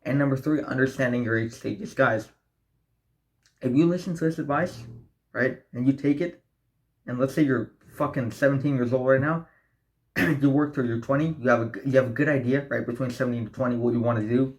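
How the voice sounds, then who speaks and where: Young male vlogger, late teens, preachy and profane, keeps saying "right?", average pitch. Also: fairly quick, low-quality recording. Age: young adult. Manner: blunt, profane, preachy motivational talk; addresses viewers as guys, asks right? often. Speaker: self-improvement vlogger. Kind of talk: direct-to-camera advice vlog.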